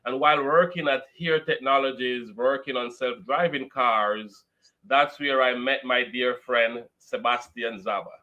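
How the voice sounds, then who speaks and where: A man's voice: medium pitch, fairly even intonation, unhurried, pushed and bright, muffled recording. A man with a Jamaican accent giving his background as an investor guest in an interview. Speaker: real estate investor, podcast guest. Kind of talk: interview, recounting career background.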